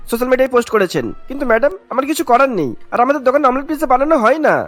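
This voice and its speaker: A man's voice: extremely high pitch, animated, racing, fairly bright tone, soft background music. A man playing an indignant, harried pizza shop owner in a cartoon, talking in an emphatic, protesting way. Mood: exasperated. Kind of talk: cartoon dialogue acting.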